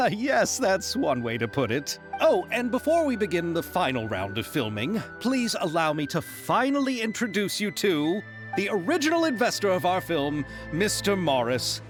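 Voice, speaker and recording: A man's voice: sits high, wildly swooping pitch, normal speed, music in the background. An actor voicing an earnest, polite, apologetic young male producer in a video game. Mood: apologetic, friendly. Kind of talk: acted video game dialogue.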